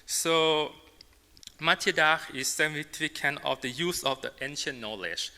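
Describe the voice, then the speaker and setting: A man with a Thai accent presents his work as an artist and designer to a symposium. His voice is very bright and forceful, with halting, emphatic phrasing. It rings with the long reverb of a large hall. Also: on the high side. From Thailand. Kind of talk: public presentation in non-native English. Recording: reverberant hall, amplified talk.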